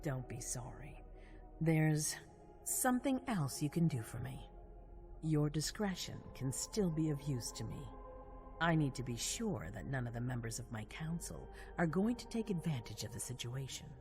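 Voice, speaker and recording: An actress playing a composed, calculating vampire ruler in a video game cutscene, measured and authoritative. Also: female voice, deep voice, sing-song, a little mellow. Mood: commanding, measured. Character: commanding vampire prince woman, composed, calculating, regal. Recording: faint music behind.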